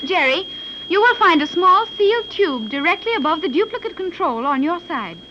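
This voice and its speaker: Brief: cool, exact scientific daughter, very high voice, formal delivery, muffled hissy old radio serial. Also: female voice, lively intonation, normal speed. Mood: cool, instructive. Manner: formal, exact diction without contractions, didactic. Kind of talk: 1930s radio serial acting.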